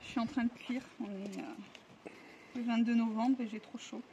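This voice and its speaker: A woman's voice: a little high, steady tone, normal speed, mellow, slightly rough. A woman, chatting casually and a little hesitantly, repeating words for emphasis. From France. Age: 30s. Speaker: vlog interviewee, expatriate. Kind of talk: casual chat. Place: outdoors in a residential neighbourhood. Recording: noisy outdoor recording.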